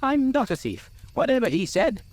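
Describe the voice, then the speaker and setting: A man's voice: extremely high pitch, big pitch swings, normal speed, voice pushed up. A man voicing a stubborn, suspicious hobbit in a storybook, with a stammering, defensive, insistent manner. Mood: shaken, defensive. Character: stubborn, suspicious hobbit clinging to his treasure.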